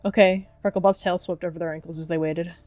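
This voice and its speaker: A woman reads scripted story narration for a roleplay. Her voice sits a little low, and music plays quietly behind her. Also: steady tone, quick pace, dry recording.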